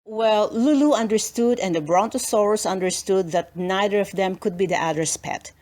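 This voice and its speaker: A woman narrating a children's storybook as an expressive read-aloud. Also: mid-range voice, moderate pace.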